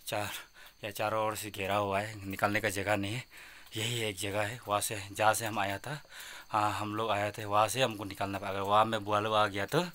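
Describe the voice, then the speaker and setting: A man with a Northeast Indian accent narrating casually on location outdoors at a cave, in halting, repetitive, simple phrases. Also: on the low side, sharp tone. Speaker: vlogger exploring a cave. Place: outdoors at a forested cave mouth. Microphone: handheld phone.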